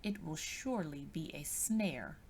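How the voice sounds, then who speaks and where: Slow, gravelly woman reading scripture. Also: low-pitched, expressive, darkish tone, a little hiss or hum. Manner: measured, deliberate phrasing. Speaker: scripture reader.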